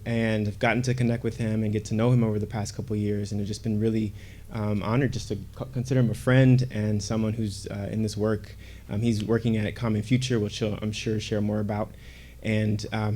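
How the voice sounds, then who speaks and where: A man acting as emcee, introducing two speakers at a conference luncheon with halting, filler-filled speech. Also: lowish voice, darkish tone, slight background noise. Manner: halting, many fillers and restarts. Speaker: event emcee. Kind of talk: casual stage introduction.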